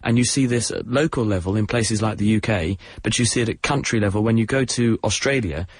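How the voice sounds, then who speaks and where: A man with a southern English accent explaining science on a radio call-in show, relaxed and joking at his own expense. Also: lowish voice, fairly quick, slightly rough, no echo, studio quality. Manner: relaxed explainer, self-deprecating jokes. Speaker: science expert guest on radio.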